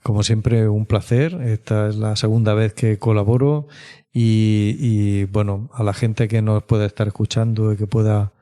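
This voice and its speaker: Low, mellow middle-aged man. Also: little pitch movement, moderate pace. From Spain. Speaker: mental health expert guest. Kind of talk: conversational interview answers.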